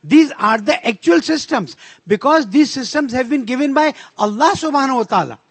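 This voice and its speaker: A man with a Pakistani accent whose first language is Urdu, giving an emphatic conference speech and slowing down to stress his key points. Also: very high-pitched, lively intonation, no room sound. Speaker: conference speaker.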